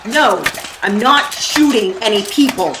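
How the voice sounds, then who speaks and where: A woman's voice: mid-range voice, animated, a little slow, background noise, natural voice. A woman acting a tough, exasperated heroine in an audio comedy drama, sarcastic and bossy in manner. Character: snarky, exasperated, tough gun-wielding heroine.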